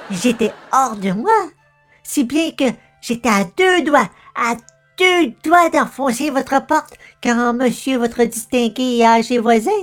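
A high-pitched, fretful fusspot, played by a woman, devoted to excess and given to florid, formal phrases with timid hesitations. The scene is old-time radio comedy with faint music behind. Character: fussy, anxious, overly devoted acquaintance, timid customer. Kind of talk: 1940s-style radio comedy acting.

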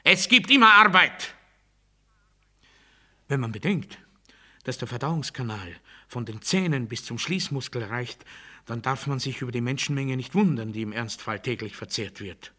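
Sharp, forceful authoritarian demagogue. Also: male voice, medium pitch, expressive, moderate pace. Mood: loud, fervent, then proud, doctrinaire. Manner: declamatory, bureaucratic, commanding. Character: pompous authoritarian male demagogue drilling the masses. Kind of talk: satirical radio play monologue, oratorical speech.

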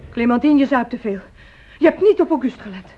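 Actress as high-voiced anxious young mother. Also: lively intonation, fairly quick, natural voice. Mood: reproachful. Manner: emotional, pleading. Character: anxious, devoted 26-year-old village mother, a widow. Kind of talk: radio drama acting. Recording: dull, noisy old radio play recording.

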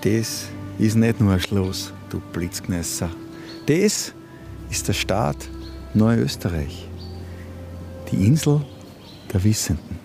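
A man with an Austrian accent playing a gruff, bossy character who orders his companions about in a radio drama. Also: lowish voice, animated, slow pace, pauses often, music in the background, exaggerated voice. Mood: proud, bossy.

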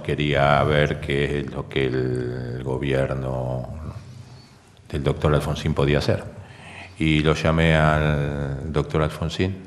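Very deep-voiced, halting Argentine man. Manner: halting, hesitant phrasing. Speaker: interviewee giving testimony. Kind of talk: recounting memories in an interview. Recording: long reverb, clear background noise.

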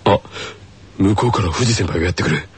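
An actor playing a serious, easily flustered teenage boy athlete in an anime radio comedy, with a growly rasp and terse muttering that jumps into exclamations. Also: deep voice, a little fast. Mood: gruff, puzzled.

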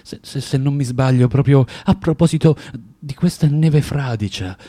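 An actor playing a bitter, tormented man of forty in a theatrical radio monologue, halting and repeating himself as he swings between muttering and outbursts. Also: mid-range voice, warm tone, close to an everyday voice. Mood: gloomy, wistful. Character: bitter, tormented forty-year-old underground man, spiteful and self-contradicting.

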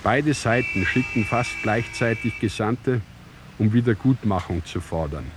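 An actor playing a sober chronicler of ancient Rome in an audio drama, narrating in a measured, matter-of-fact way. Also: male voice, fairly low pitch, background noise, lightly acted. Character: sober male chronicler retelling an ancient Roman war.

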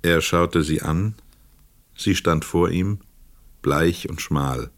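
A man reading scripted literary narration for a radio play in a measured, precise storytelling manner. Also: sits low, no echo.

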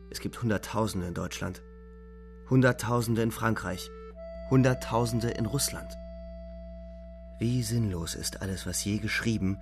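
Low creaky male actor as young soldier narrating a war radio play, grave n weary, bit of music under. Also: close to an everyday voice. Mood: grim, bleak, then anguished. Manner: grave, deliberate, declamatory. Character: twenty-year-old German soldier, weary, despairing, reflective. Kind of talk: literary radio play monologue.

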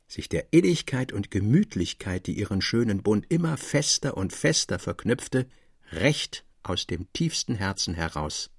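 A man with a gravelly, husky voice of middling pitch reads literary narration. The sound is close and dry, and the delivery is scripted and formal. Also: dry recording.

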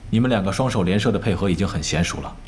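An actor playing a composed, authoritative esports team captain in an audio drama, speaking in a measured, serious manner. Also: male voice, low voice, lively intonation, darkish tone, a little hiss or hum. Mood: calm, serious. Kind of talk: Chinese audio drama acting.

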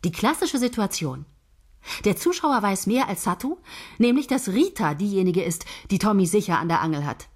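An actress playing a confident head writer who steers her team in a brisk, patronizing and persuasive manner. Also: medium pitch, expressive, lightly acted. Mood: bossy. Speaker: head of a soap writing team. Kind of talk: radio play dialogue.

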